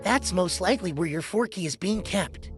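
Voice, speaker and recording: A woman voicing a bold, commanding toy shepherdess in a children's storybook, speaking in a brisk, directive way. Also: lowish voice, expressive, faint music behind, natural voice. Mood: matter-of-fact. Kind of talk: children's storybook read-aloud.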